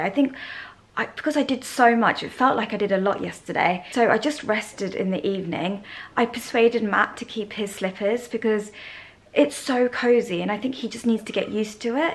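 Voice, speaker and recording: A woman chatting casually and affectionately to her vlog audience. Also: medium pitch. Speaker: vlogger, host of a personal channel.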